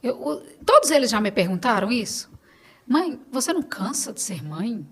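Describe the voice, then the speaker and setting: A woman's voice: fairly high pitch, very animated, normal speed, a bit bright, slightly rough. A middle-aged Brazilian woman talking casually as a podcast guest, telling stories and quoting conversations as she goes.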